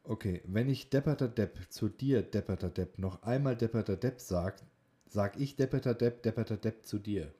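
Man, fairly low voice, casual podcast co-host, informal banter. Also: moderate pace, warm tone. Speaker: student in a speech training class. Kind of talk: casual podcast chat with tongue-twister game.

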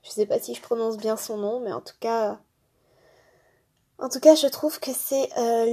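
A woman's voice: fairly high pitch, warm tone, husky. A woman from France hosting a podcast, talking in a chatty, rambling, enthusiastic way. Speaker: podcast host. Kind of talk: casual spoken monologue.

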